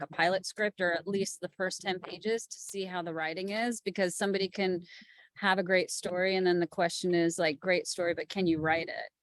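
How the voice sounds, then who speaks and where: A woman's voice: medium pitch, dry recording. A woman speaking in a conversational, plain-spoken way as a producer on an informal panel. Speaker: executive producer, panel guest.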